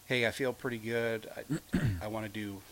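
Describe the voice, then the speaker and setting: Male guest, middle-aged, slightly low voice, reflective and halting, faint ambience behind. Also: fairly even intonation, fairly bright tone, a little hiss or hum. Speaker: interview guest. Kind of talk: casual, reflective chat.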